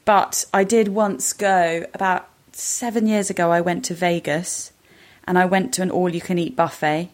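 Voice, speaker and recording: A woman's voice: fairly low pitch, steady tone, moderate pace. A British woman co-hosting a podcast, telling personal anecdotes in a casual, conversational way. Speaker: podcast co-host.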